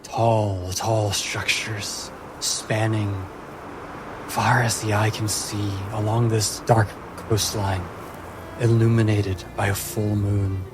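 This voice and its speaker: Guy game master narrating fantasy, lowish flat voice, lots of pauses, music behind. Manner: deliberate, broken phrasing with pauses.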